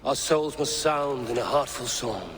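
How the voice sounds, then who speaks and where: An actor playing an earnest, humble Viking clan leader, making slow oratory in a game cutscene. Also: male voice, medium pitch, animated, fairly bright tone. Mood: solemn, earnest. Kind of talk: dramatic video game cutscene acting. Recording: long hall reverb, faint noise.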